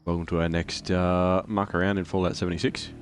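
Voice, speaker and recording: Man, hoarse and croaky with flu, very low-pitched, casual rambling streamer, faint background music. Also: level tone, fairly quick. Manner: rambling, reads out chat and greets viewers. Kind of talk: casual gameplay stream chat.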